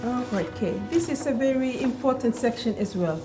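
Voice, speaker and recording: A woman's voice: a little high, expressive, normal speed, over music. A woman talking as a teacher in a question-and-answer meeting, explaining and asking step by step.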